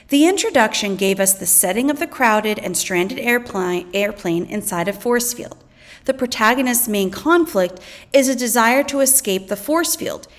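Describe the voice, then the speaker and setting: A woman reading educational material aloud as a teacher, in an instructional way that explains and poses questions. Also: medium pitch. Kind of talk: scripted educational read-aloud narration.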